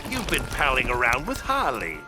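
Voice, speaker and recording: Wildly swooping manic villain clown. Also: male voice, mid-range voice, wildly swooping pitch, moderate pace. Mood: sly taunting. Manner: theatrical, sing-song taunting, drawn out. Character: manic, cackling villain clown, mocking and sinister. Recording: game music behind the voice.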